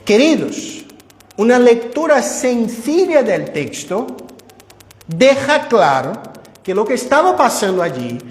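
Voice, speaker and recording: A pastor whose first language is Portuguese gives an expository sermon in a church, teaching with conversational asides and personal examples. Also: male voice, very high voice, big pitch swings, takes it slowly. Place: church sanctuary. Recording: echoey church hall with long reverb.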